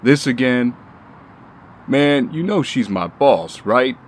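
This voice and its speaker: A man's voice: medium pitch, expressive, slow pace, slight background noise. A man playing a loyal but exasperated bodyguard in an audio drama, casual and skeptical.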